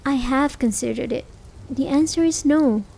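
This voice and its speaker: A woman's voice: high-pitched, moderate pace, gravelly, mellow, rough recording. A woman acting a caring, worried, hesitant partner in a realistic slice-of-life ASMR roleplay. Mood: exasperated, gentle. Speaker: amateur voice actor. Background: rain sound effects.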